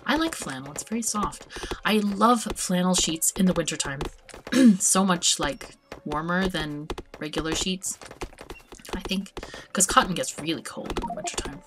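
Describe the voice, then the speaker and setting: A woman hosting a multiplayer game stream, chatting in a relaxed way and often restarting her words. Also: on the low side, normal speed, noisy background. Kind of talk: casual chat while playing.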